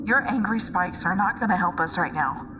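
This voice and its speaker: A woman's voice: medium pitch, moderate pace, far from the mic, background noise, natural voice. A woman playing a gritty, exasperated soldier in a sci-fi drama, with blunt informal delivery. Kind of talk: sci-fi audio drama acting. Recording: off-mic, under loud music.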